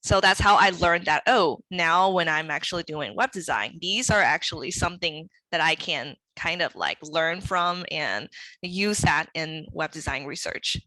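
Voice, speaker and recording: Young woman guest, English not her first language, bright and animated, slightly low-pitched, fillers throughout, dry headset sound. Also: moderate pace, no room sound, rough recording. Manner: hesitant with many fillers, laughs often. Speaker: guest, web designer. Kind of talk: casual interview chat. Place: small room at home. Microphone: headset with boom mic, video call.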